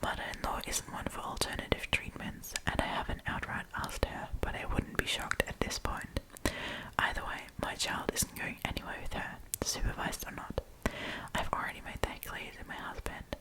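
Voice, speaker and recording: A woman hosting an ASMR storytime, reading online stories aloud in a soft, breathy whisper. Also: moderate pace, rough recording. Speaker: host of an ASMR storytime channel.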